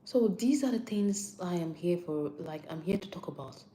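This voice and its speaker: A woman's voice: fairly low pitch, animated, moderate pace, mellow, poor audio. A young Nigerian woman with a Nigerian accent giving a casual live-stream health talk, repeating points for emphasis and answering viewer comments.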